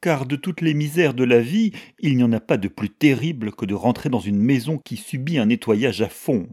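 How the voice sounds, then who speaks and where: A man's voice: mid-range voice, expressive, normal speed, a little mellow, husky, pitched above the performer's own voice. Man voicing a whiny, fussy lamenting housewife. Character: plaintive, fussy married woman, lamenting housewife.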